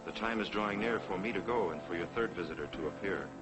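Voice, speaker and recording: Man as solemn spirit guide, lowish voice, measured declamation, old television drama soundtrack, loud music. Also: lightly acted. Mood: grave foreboding. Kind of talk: 1940s television drama acting.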